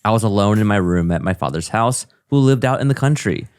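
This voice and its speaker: A man's voice: fairly low pitch, expressive, a little fast, a little mellow, clear, resonant tone, no room sound. A man co-hosting a comedy podcast, reading a listener's email aloud in a dry, matter-of-fact way with joking asides. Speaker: co-host.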